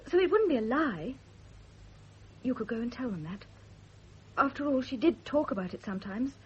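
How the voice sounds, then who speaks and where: A woman's voice: a little high, animated, normal speed, darkish tone, slightly rough. An actress playing a distraught, loyal woman who pleads earnestly in a radio drama. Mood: pleading. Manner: earnest, beseeching. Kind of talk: 1970 radio drama acting. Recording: dull, band-limited old radio recording.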